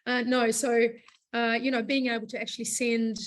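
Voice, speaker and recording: A woman with a hoarse voice, a business founder chatting in an interview, rambling with many fillers and restarts. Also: a little high, flat delivery, moderate pace. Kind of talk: casual interview chat.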